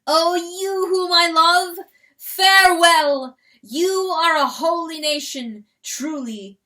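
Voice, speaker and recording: A woman's voice: extremely high pitch, slow pace, bright, forceful tone, voice pushed up, heavily acted. A woman voicing a noble male rooster lord who speaks in ringing, declamatory tones. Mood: solemn farewell. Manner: ringing, declamatory crowing speech.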